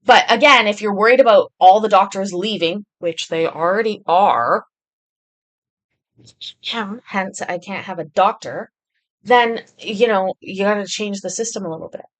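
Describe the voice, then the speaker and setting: A woman's voice: mid-range voice, measured pace. A woman from Britain hosting a live stream in casual, rambling chat, reading and answering viewer comments with lots of ums and restarts.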